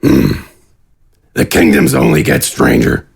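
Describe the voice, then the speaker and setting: A man playing a huge, gruff, scornful warlord in a fantasy audio drama, speaking tersely in a raspy, gravelly voice. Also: mid-range voice, wildly swooping pitch, slow, full character voice. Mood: gruff puzzlement. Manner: terse, grunting, disdainful. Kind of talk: scripted audio drama acting.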